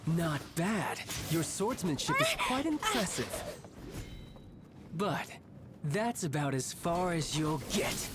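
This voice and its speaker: A man's voice: a little high, big pitch swings, takes it slowly, very noisy. An actor playing a cocky young male villain in a video game, smug and theatrical, with a taunting, battle-hungry manner. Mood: battle thrill. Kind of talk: dramatic video game voice acting. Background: background music.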